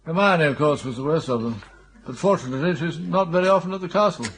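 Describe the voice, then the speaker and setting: Henpecked elderly English lord, fairly high voice, dithering and forgetful, for radio comedy. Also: male voice, expressive, fast talker, fairly bright tone, slightly rough, heavily acted. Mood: rueful. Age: older. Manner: dithering, bewildered, plaintive. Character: vague, henpecked elderly earl, forgetful and easily dismayed. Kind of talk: British radio comedy drama acting.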